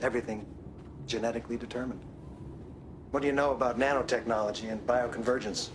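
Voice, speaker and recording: An actor, playing a weary, grave field doctor in a game drama, explaining in a measured, halting way. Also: male voice, medium pitch, fairly even intonation, background noise, natural voice. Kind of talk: film drama acting.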